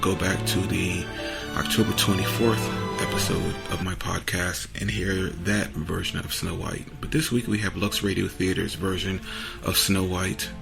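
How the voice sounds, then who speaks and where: A man with a low voice and a heavy creak hosts a podcast. He speaks in a casual introductory style, with music clearly playing behind him. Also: level tone, normal speed. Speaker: podcast host.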